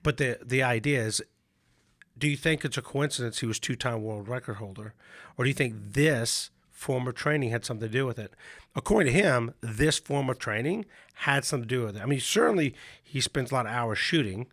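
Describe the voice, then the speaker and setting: A male coach with a hoarse voice from a cold, telling stories in a relaxed chat with frequent restarts. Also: medium pitch, a little fast, no echo, clean studio sound. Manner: relaxed storytelling with many restarts. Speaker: mental management coach. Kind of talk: casual podcast chat.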